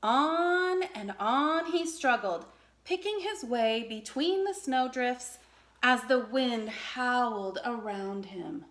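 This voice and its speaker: A woman reading a children's story aloud in an expressive narrating style. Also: on the high side, slow pace. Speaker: storybook reader.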